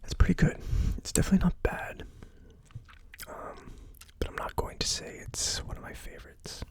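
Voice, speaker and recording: A person giving a casual ASMR tasting review as host, speaking informally and a little tentatively. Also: moderate pace. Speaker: host of a whiskey tasting series.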